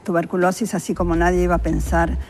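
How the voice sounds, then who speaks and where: Female interview guest, Uruguayan, health official. Fairly low voice, talks informally and explanatorily over room noise. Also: little pitch movement, fast, a little mellow. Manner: conversational, illustrates points with imagined quotes. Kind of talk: spontaneous explanatory interview talk.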